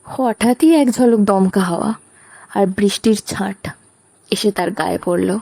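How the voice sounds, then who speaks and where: A woman's voice: mid-range voice, unhurried, creaky, mellow, no echo. A woman reading scripted narration for a romantic audio story.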